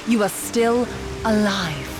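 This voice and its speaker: An actress voicing an earnest, solemn young shinobi woman in a video game, speaking formally and with measured care. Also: mid-range voice, animated, over music, low-quality recording. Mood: impassioned. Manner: formal, measured, theatrical speech. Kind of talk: dramatic video game acting.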